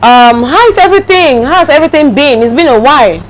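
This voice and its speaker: High-voiced Cameroonian woman hosting. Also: expressive, fairly quick. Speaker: talk show host. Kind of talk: casual interview.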